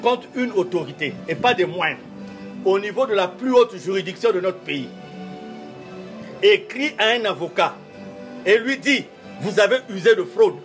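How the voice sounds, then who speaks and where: High-pitched guy, french not his first language, formal interview, slow repeating oratory, faint music behind. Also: expressive. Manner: measured, repetitive, oratorical emphasis. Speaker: church official giving an interview.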